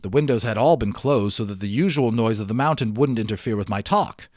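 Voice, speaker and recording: A man giving an expressive, emphatic dramatic reading of a storybook, as an amateur narrator. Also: fairly low pitch, a little fast, no room sound, studio quality. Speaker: amateur audiobook reader. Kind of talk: dramatic storybook read-aloud with chatty asides.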